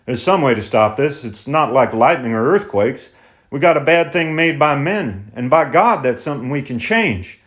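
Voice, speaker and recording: A man's voice: medium pitch, animated, fairly bright tone, pitched above the performer's own voice, lightly acted. Stubborn tenant farmer, pondering, plain rural talk; male narrator voicing him. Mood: defiant. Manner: slow, pondering, plain-spoken.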